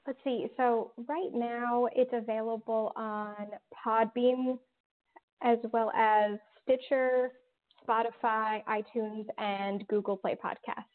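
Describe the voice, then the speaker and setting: A woman's voice: fairly high pitch, fairly even intonation, slow. A woman talking casually as an interview guest, explaining things in a conversational way. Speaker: podcast guest, audio drama writer. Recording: thin phone-like call audio.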